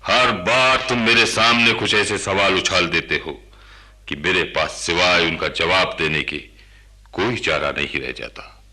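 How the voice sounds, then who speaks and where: A man playing a proud, stubborn king in a radio drama, speaking in a solemn, measured, emphatic way. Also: deep voice, pushed and bright, heavily acted. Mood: wry, firm. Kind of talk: Hindi radio drama acting.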